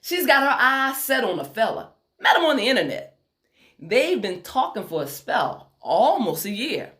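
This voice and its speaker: A proud, exacting Southern woman surgeon played by a female audiobook narrator speaks in a Tennessee accent. She is emphatic and folksy, giving orders with her pitch lifted well above plain narration. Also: sing-song, moderate pace, a bit bright. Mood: confiding.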